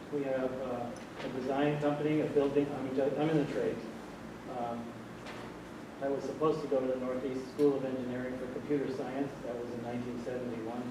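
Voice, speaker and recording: Soft-spoken man in his sixties, echoey hall. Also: medium pitch. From Vermont. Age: 60s. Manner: soft-spoken, rambling, many fillers. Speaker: audience member at a panel. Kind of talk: public comment at a panel discussion. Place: large hall. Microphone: distant room mic. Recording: echoey hall, distant noisy mic.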